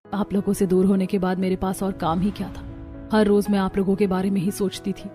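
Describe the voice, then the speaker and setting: A woman playing a gentle, repentant and devoted daughter-in-law in a family cartoon, humble and pleading. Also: medium pitch, level tone, fairly quick, soft background music, natural voice.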